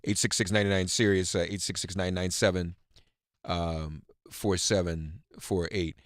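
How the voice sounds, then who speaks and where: A man hosting a live political call-in radio show, halting and emphatic, repeating words for stress as he reads news and talks with callers. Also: low voice, level tone, dry recording, clean studio sound.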